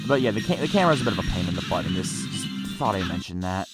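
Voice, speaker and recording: A man's voice: sits low, big pitch swings, very fast. A man giving casual gameplay commentary, rambling and often repeating or correcting himself mid-sentence. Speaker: let's play narrator reviewing gameplay. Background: game music under the voice.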